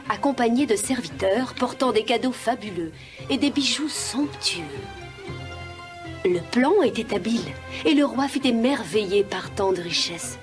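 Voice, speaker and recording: A woman narrating a children's animated tale in a scripted storybook style. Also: fairly high pitch. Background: film music.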